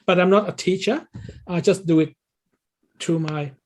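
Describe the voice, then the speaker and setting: A man's voice: sits high, steady tone, moderate pace, darkish tone. A man in his sixties from Thailand, a native Thai speaker, answering interview questions conversationally and explaining things as a coach would. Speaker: interview guest, English learning coach.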